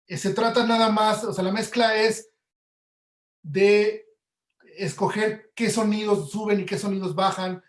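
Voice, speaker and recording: A man with a Mexican Spanish accent giving casual, explanatory interview answers through everyday examples, as a guest audio producer. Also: high-pitched. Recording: roomy, echoey webinar audio.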